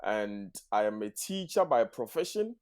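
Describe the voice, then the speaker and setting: A man's voice: on the low side, very animated, darkish tone, clear, resonant tone. Earnest man in his thirties. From Ghana. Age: 30s. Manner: earnest, measured, speaks in full formal sentences. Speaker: guest, math teacher. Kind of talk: podcast interview.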